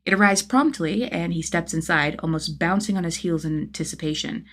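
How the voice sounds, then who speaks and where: A woman reading a story aloud as an expressive scripted narration, with a slightly husky voice. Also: on the low side, fairly quick. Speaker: audiobook-style narrator of fan fiction.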